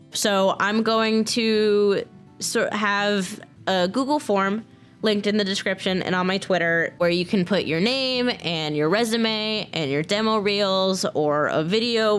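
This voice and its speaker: A woman talking casually and earnestly to her viewers, excited and informal in manner, as a video creator. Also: medium pitch. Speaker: video creator and game modder. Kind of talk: casual, personal talk to viewers.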